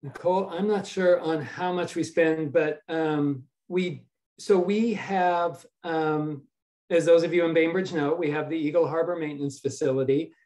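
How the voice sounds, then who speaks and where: An older American man in his sixties with a fairly high-pitched voice answers questions at an online public meeting. He explains in a measured, halting way, speaking as an official over a video call. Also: steady tone, darkish tone. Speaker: state ferries official at a town hall.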